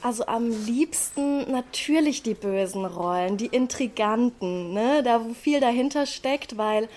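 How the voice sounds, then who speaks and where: A woman's voice: on the high side, expressive, measured pace, a little mellow. Candid, slangy woman in casual interview. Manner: candid, slangy, often seeks agreement with tag questions. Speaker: stage actress being interviewed.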